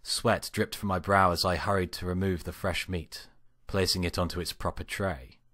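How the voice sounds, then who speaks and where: A man's voice: deep voice, steady tone, moderate pace, a bit bright, no room sound, clean studio sound. A man who is an amateur story reader, both chatting casually and narrating fan fiction.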